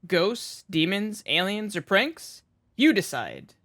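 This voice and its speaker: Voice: medium pitch, wildly swooping pitch, slow pace, a bit bright, dry recording, studio quality, heavily acted. A performer playing a mysterious late-night paranormal radio show host, speaking in a theatrical, suspenseful way. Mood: mysterious, welcoming.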